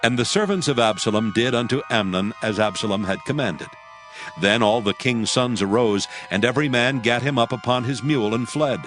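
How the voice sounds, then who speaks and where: A man's voice: medium pitch, brisk, fairly bright tone. A man giving a solemn scripted narration of scripture in archaic English. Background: faint music.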